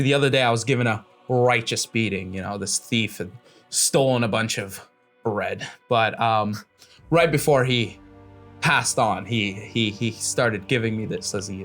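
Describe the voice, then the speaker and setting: A man's voice: fairly low pitch, a bit bright, lightly acted. Man playing a smug, casual bounty hunter. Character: sly, smug male bounty hunter, an old acquaintance bargaining.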